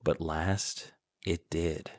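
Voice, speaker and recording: A man's voice: very deep voice, steady tone, extremely slow, no room sound, studio quality. A man reading scripted fan fiction as the narrator, telling the story in prose.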